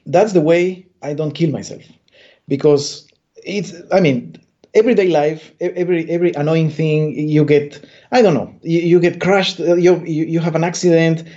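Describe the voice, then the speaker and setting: Guy from bolivia rambling and stumbling over words, kinda high, mellow tone, dull lo-fi sound. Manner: rambling, stammers and restarts often. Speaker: interview guest, comic artist. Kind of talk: casual interview chat. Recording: dull lo-fi audio.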